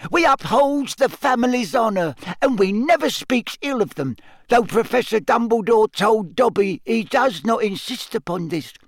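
A man's voice: very high-pitched, animated, moderate pace, no echo, pitched above the performer's own voice. A man voicing a small male house-elf for an audiobook, eager, loyal and nervous, speaking in servile, broken grammar. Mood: earnest. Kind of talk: audiobook character acting.

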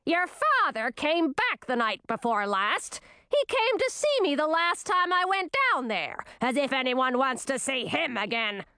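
A woman voicing a shrill, scornful old queen with a screechy, contemptuous way of scolding. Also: sing-song, a little slow, sharp tone, no room sound, studio quality, heavily acted.

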